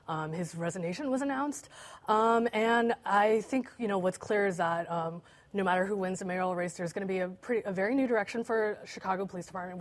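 Hesitant woman reporter, many ums. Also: fairly low pitch. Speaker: newspaper reporter, panelist. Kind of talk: panel discussion.

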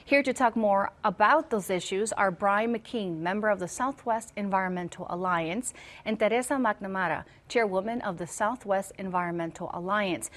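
A woman hosting a TV news interview, briskly introducing guests and asking questions. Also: medium pitch. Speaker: TV news host.

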